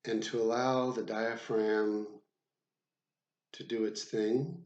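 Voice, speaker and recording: A man with a low voice leads a guided body scan. He speaks very slowly and deliberately, leaving frequent gaps between short phrases. The sound is roomy, with clear echo around his voice. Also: little pitch movement, a little mellow, muffled recording. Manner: measured, deliberate, with frequent pauses. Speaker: psychotherapist guiding meditation. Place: room with the door left open.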